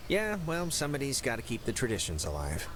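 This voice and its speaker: A man's voice: mid-range voice, wildly swooping pitch, fast talker, background noise. A young male actor with an American accent playing an awkward, easygoing, apologetic teenage stepbrother in a game. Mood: uneasy, joking. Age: young adult. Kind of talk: naturalistic game dialogue.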